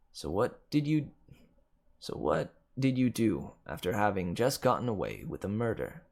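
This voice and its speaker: A man reading a dark second-person story aloud as scripted narration, with dramatic emphasis that swells and drops. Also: on the low side. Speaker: amateur story reader.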